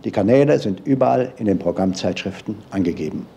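A man's voice: deep voice, animated, quick pace, creaky, mellow, slightly rough, full character voice. A man playing a formal, helpful explainer in an old broadcast, giving instructions. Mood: formal, instructive. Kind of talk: formal vintage broadcast announcement. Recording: old archive broadcast, noisy background.

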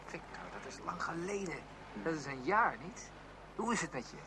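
A man's voice: fairly high pitch, expressive, moderate pace, lightly acted. An actor playing a young man, a sceptical but loyal friend, in conversational Dutch radio play style with a questioning manner. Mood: concerned. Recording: noisy old radio drama recording.

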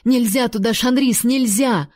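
A woman's voice: on the low side, fairly even intonation, moderate pace, mellow, close to an everyday voice. A woman reading the lines of a stern, protective noble duke in an audiobook, barely shifting her own voice, with urgent pleading calls.

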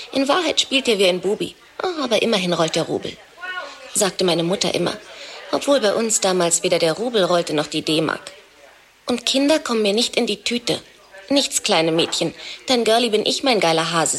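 An actress in a radio play, playing a chatty, sardonic young wife who is jealous. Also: female voice, medium pitch, a bit bright. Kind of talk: radio play monologue and dialogue.

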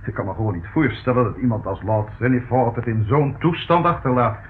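A man's voice: medium pitch, a little fast, close to an everyday voice. An actor playing a fussy, easily scandalised male estate agent in a Dutch radio play, with formal, pompous patter. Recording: muffled old radio recording, faint noise.

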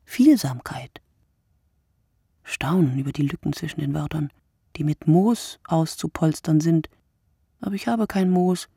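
A brooding, solitary woman writer speaks in a radio play monologue. Her voice is low, husky and gravelly, close and dry. She is deliberate and poetic, often sinking into a breathy hush. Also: no room sound, full character voice. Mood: intimate, poetic. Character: wakeful solitary night writer, a woman, brooding and word-obsessed.

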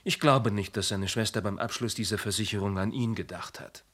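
An actor playing an insurance agent in a radio play, precise and wry, a little condescending. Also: male voice, lowish voice, fairly even intonation, brisk, a bit bright, breathy edge. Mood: explaining. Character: polished, ironic male insurance agent.

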